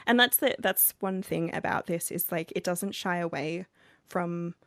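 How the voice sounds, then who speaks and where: Woman podcast co-host, lowish dry voice. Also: a little slow, no echo. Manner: reads passages with put-on voices, laughs easily. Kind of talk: casual chat and reading letters aloud.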